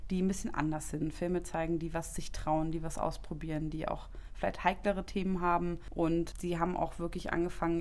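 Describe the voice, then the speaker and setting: A woman from Berlin talks about her festival job in an interview. Her voice sits a little low, and she explains with eager, thorough detail, stringing lists of venues and numbers together. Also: darkish tone, slight background noise. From Berlin, Germany. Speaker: film festival staff member. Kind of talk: interview answers.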